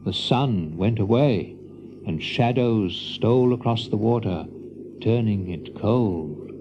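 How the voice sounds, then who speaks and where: A man's voice: lowish voice, lively intonation, slow pace, muffled recording. A man narrating a children's story in a dramatic storybook style. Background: music.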